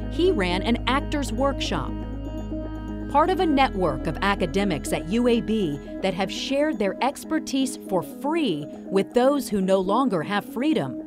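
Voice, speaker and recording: An American woman reading scripted news documentary narration as a TV reporter. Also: mid-range voice.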